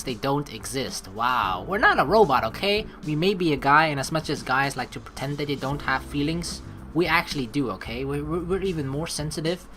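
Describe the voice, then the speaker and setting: A woman hosting a let's play, reading game text aloud and adding chatty, informal commentary. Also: low-pitched. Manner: animated, informal, chatty asides.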